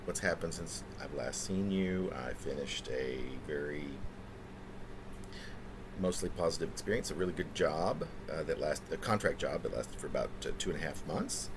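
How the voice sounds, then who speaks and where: A man in his fifties, a poet talking casually and reading his own work in a halting, pausing way. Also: a bass voice, lively intonation. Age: 50s. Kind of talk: casual spoken preamble and poetry reading. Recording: noticeable background room noise.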